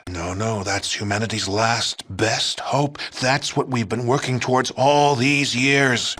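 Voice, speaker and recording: An actor playing a fanatical American president in a video game, speaking with folksy, righteous, patronizing political oratory in an American accent. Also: male voice, fairly low pitch, a bit bright. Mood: matter-of-fact. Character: fanatical, self-righteous American president plotting mass extermination. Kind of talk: video game villain dialogue.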